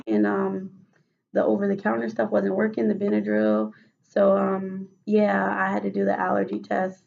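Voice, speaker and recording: A woman with a raspy voice answering questions as a patient in a role-played clinical interview, hesitant with many fillers and restarts. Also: mid-range voice, darkish tone, dull audio. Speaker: mock patient in a role-play case study.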